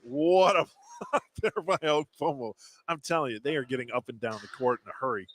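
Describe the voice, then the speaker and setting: A man's voice: mid-range voice, fairly quick, a bit bright, no echo. A man giving conversational color commentary on a live basketball broadcast. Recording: clear background noise on live broadcast.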